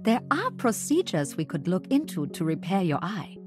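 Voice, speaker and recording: An actress voicing a caring, principled woman doctor in a video game, speaking softly and precisely. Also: fairly low pitch, big pitch swings, moderate pace. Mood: gentle concern. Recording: game clip with music behind.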